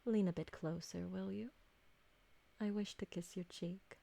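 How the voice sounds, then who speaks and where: A woman playing an elegant, possessive vampire noblewoman in a roleplay ASMR drama, speaking formally with deliberate diction and doting on her servant. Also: medium pitch, fairly even intonation, gravelly, dark tone. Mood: tender, grateful.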